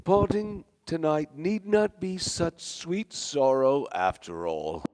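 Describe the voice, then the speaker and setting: A man's voice: on the high side, expressive, slow, a loud crowd. An actor playing a grandiose, florid theatre man addressing his company in a radio play, with theatrical declamation. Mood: grand, gracious. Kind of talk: radio play acting, speech to a cast. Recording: long hall reverb.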